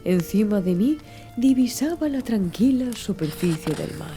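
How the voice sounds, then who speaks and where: Dark, mellow woman narrating an audiobook. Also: mid-range voice, sing-song, a little slow. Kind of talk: scripted literary audiobook narration. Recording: music under the voice. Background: music.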